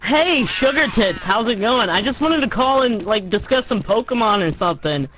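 Young male prank caller, high voice, slangy and foul-mouthed, faint-to-clear background noise. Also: expressive, normal speed. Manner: slangy, teasing, profane. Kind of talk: casual, crude prank phone chat.

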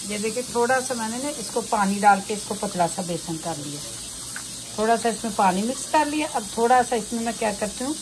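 A woman's voice: a little high, expressive, a little fast. A woman giving a casual step-by-step cooking demonstration in a home kitchen, often repeating her phrases as she shows each step. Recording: noisy home kitchen recording.

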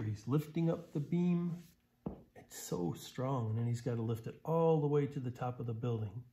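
A man's voice: medium pitch, a little mellow. A middle-aged American man reading a picture book aloud, expressively, with simple explanations for young children. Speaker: volunteer story reader. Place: small living room, indoors on a sofa.

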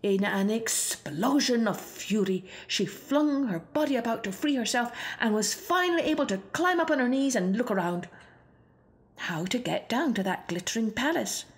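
A woman's voice: on the high side, animated, normal speed. A woman narrating a children's storybook expressively. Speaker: storybook reader.

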